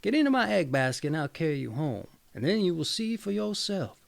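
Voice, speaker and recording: Storybook egg seller, voiced by a male reader: worldly, frank, confiding, creaky and animated. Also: on the high side, brisk, voice pushed up. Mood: knowing. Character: shrewd, blunt male egg merchant friend.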